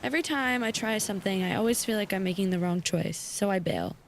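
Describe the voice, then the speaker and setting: A woman's voice: mid-range voice, fast, slight background noise, close to an everyday voice. An actress playing an anxious, defensive young woman captaining a small boat in an audio drama, hesitant and evasive.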